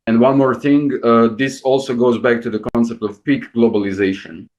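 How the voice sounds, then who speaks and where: A man's voice: mid-range voice, fairly even intonation, moderate pace, a little mellow. A man from Croatia, a business owner on a panel, speaking thoughtfully with restarts and fillers. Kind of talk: panel discussion. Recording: dull lo-fi sound.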